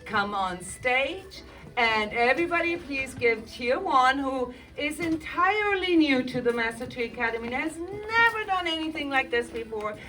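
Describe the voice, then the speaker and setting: A woman's voice: sits high, expressive, normal speed. A woman teacher hosting a school performance, announcing, narrating dramatically and prompting children in an encouraging, directing way, recorded from a distance. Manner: encouraging, directing, repeats lines loudly for children. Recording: music behind, picked up from a distance.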